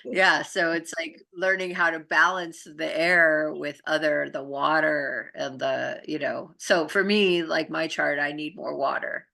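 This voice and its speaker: A woman's voice: low-pitched, fairly even intonation, a little slow, gravelly, hardly any pauses, pushed and bright. A middle-aged woman co-hosting a casual spiritual chat, explaining things earnestly. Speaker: co-host and healing arts practitioner.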